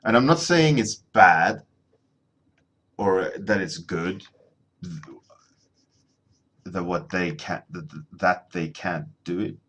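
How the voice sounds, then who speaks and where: A man's voice: sits low, slow, long pauses, a bit bright, breathy edge. A man in his thirties, a native Swedish speaker, chatting casually on a painting livestream from his home studio, rambling and restarting his sentences.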